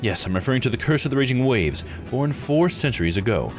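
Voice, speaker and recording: A man's voice: medium pitch, expressive, fast talker, close to an everyday voice. Courteous mystery-game storyteller, read by a man, formal and ceremonious, varied intonation over music. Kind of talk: scripted narration read aloud.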